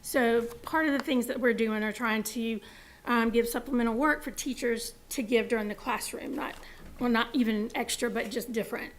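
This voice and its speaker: A woman presenting to a school board, explaining with fillers, her voice going hoarse. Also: fairly high pitch, moderate pace, slight background noise, low-quality recording. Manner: informal, with fillers and explanatory asides. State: losing her voice.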